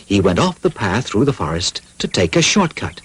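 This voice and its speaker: A man's voice: fairly low pitch, big pitch swings, moderate pace, a bit bright, husky. A man narrating a cartoon fairy tale in a scripted storybook style.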